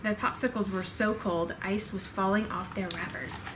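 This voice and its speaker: A woman's voice: mid-range voice, steady tone, normal speed, background noise. A woman storyteller reading a children's storybook expressively to her child.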